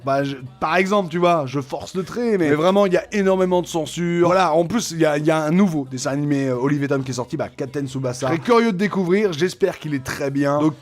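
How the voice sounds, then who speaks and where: A man hosting a casual comic reaction video, enthusiastic, slangy and repetitive, often swearing. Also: sits high. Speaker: video host.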